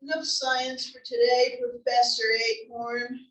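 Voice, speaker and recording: Lady reading a teasing offhand wife, high lively voice, echoey room. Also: a little slow, close to an everyday voice. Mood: wry. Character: wry, easygoing wife who teases her husband. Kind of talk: comedy play table read. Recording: echoey room, distant mic.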